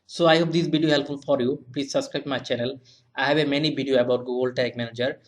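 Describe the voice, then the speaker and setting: Male tutorial presenter, young adult, mid-range voice, halting non-native English, repeats himself through simple instructions. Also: medium pitch, steady tone. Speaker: web analytics tutorial presenter. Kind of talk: step-by-step software tutorial narration.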